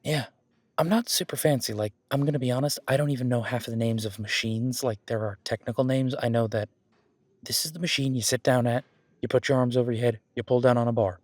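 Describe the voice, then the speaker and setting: A man's voice: mid-range voice, fairly even intonation, fast talker, no echo, natural voice. A man playing a friendly, easygoing werewolf boyfriend in a conversational audio roleplay, reassuring and rambling as he corrects himself. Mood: casual, instructive. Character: friendly male werewolf gym-goer, easygoing supportive boyfriend. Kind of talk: conversational one-sided roleplay acting.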